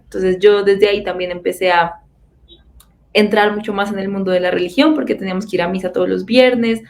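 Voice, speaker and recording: A woman's voice: medium pitch, gravelly, a little mellow. A woman in her twenties from Bogotá with a Colombian accent, earnestly telling her personal faith story with frequent fillers and restarts. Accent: Bogotá Colombian Spanish. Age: 20s. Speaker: testimony speaker.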